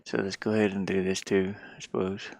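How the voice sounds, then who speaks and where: A game developer chatting casually and haltingly while working at a computer, murmuring half to themselves. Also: low-pitched, little pitch movement, creaky, a little mellow, no room sound. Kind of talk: casual screen-share chat while working. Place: inside a van.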